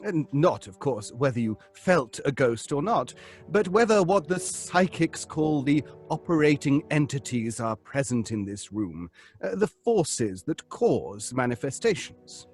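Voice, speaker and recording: An actor playing an earnest, pedantic professor leading a seance in a radio drama, speaking precisely with hesitant ums. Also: male voice, mid-range voice, very animated, unhurried, darkish tone, soft background music. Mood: pedantic, measured. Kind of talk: old-fashioned radio drama acting.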